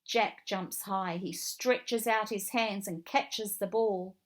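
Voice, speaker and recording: A woman narrating a children's read-along story in a deliberate, expressive way. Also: medium pitch.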